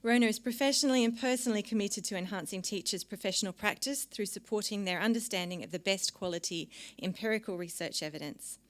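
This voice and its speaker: A woman's voice: mid-range voice, fast talker, hardly any pauses. A woman hosting an event in a lecture room, giving a polished, formal introduction of a guest speaker. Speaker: event host.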